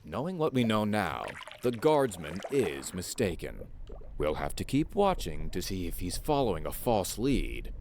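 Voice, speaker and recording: A man playing a grave true-crime narrator in a fantasy world, delivering scripted narration with solemn deadpan. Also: deep voice, unhurried, a little hiss or hum, heavily acted.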